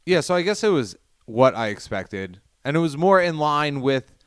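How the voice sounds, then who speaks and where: Smooth-voiced man, thoughtful and dry. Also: fairly high pitch, sing-song, moderate pace, fairly bright tone, no room sound. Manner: thoughtful, analytical. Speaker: podcast co-host. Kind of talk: casual film podcast chat.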